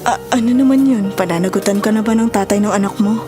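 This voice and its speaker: A woman plays a devoted middle-aged Filipino mother, gentle and forgiving. Her medium-pitched voice is earnest and tender, with strong drama music behind it. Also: fast, close to an everyday voice. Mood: grateful, tender. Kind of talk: melodramatic drama acting.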